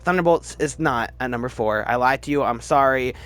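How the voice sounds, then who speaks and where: A man giving casual, opinionated commentary as a video host, emphatic and halting, often restarting his sentences. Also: medium pitch, a bit bright, clear, resonant tone, dry recording, faint music behind. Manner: chatty, halting, restarts sentences mid-word. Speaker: movie review video host. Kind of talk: casual ranked-list movie commentary.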